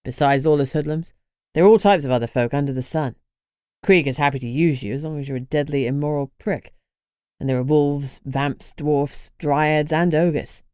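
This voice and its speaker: A gruff, stern male vampire , as a woman reading the story puts him on. The voice is pushed very low and sounds blunt and matter-of-fact. It is recorded close and dry, with no room around it. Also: moderate pace, a little mellow, no room sound, close to an everyday voice.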